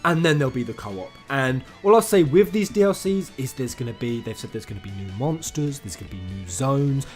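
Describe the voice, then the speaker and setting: A man giving casual, sweary gaming news commentary as a channel host, excitable and informal in manner. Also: medium pitch. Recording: music under the voice. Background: background music.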